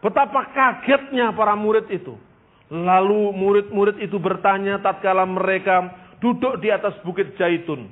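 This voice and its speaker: High-pitched emphatic male preacher, tinny recording. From East Java, Indonesia. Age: 50s. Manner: emphatic, repeats phrases, drawn-out stressed words. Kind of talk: sermon with Bible storytelling and history lesson. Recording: tinny, band-limited speech recording.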